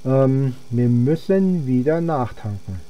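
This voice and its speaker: A man's voice: mid-range voice, lively intonation, takes it slowly, highs cut off. A young man giving casual gameplay commentary, rambling and thinking aloud as he plays. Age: young adult. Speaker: let's player.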